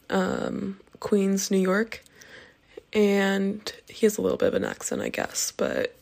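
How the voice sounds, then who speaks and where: A woman's voice: medium pitch, vocal fry. A nineteen-year-old woman from California, giving a plain, unadorned dialect sample. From Palo Alto, California. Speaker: dialect archive speaker. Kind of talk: dialect sample reading and talk.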